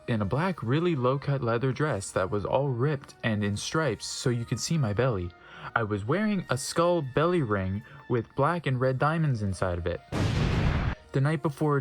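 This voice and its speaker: A man narrating fan fiction as a dramatic comedic reading, breaking off for wry offhand asides and stumbling over odd wording. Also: medium pitch. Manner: wry, stumbles over misspelled words and comments on them.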